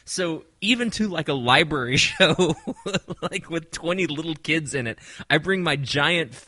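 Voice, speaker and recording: Bright-voiced chatty man. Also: on the high side, measured pace, dry recording. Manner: animated, rambling storyteller. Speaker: podcast co-host; performer. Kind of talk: casual chat.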